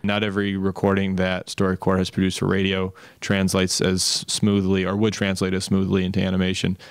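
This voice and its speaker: Guy animator in an interview, very low smooth voice, really dry close sound. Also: completely flat delivery, a little fast, dry recording. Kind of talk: interview explanation.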